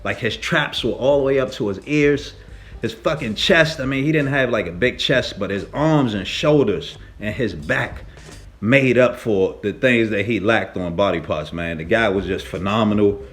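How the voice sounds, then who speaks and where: A man telling a story casually as a lifting vlogger, with an animated, slangy and emphatic way of talking. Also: lowish voice, moderate pace. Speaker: fitness vlogger, powerlifter.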